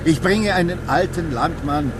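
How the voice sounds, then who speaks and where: A man's voice: on the high side, normal speed, close to an everyday voice. Man acting a melancholic official in a noisy car. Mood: melancholic, insistent. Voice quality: melancholic timbre. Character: melancholic rural municipal administrator on the phone. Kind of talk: radio drama acting. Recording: loud car engine noise. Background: car engine, driving.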